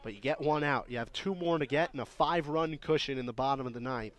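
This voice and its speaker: An American man provides color commentary on a live baseball broadcast. His voice is of average pitch, and his delivery is conversational, like talk between partners in a radio booth. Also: fast.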